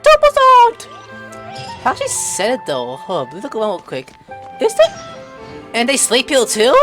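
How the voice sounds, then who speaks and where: A woman giving casual gameplay commentary, excitable, with blurted asides and crude joking. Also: a little high, unhurried. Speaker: gameplay streamer. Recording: game music behind the voice.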